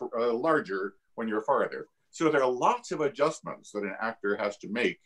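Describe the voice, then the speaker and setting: A man, an actor on a discussion panel, explaining things in an articulate, measured and reflective way. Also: lowish voice.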